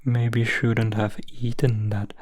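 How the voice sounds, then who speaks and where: A man's voice: on the low side, little pitch movement, slow, darkish tone. A man voicing a smug, teasing little frog in a whispered bedtime story.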